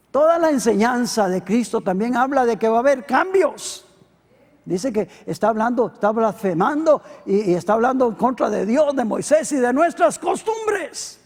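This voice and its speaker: A middle-aged Costa Rican pastor preaching a sermon, swinging between loud emphatic peaks and quiet low asides. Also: male voice, vocal fry. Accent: Costa Rican Spanish. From Costa Rica. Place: church hall. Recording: long hall reverb.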